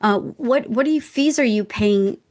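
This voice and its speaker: A woman's voice: a little high, moderate pace, darkish tone. A woman co-hosting a casual podcast, explaining things as she thinks aloud. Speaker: podcast co-host.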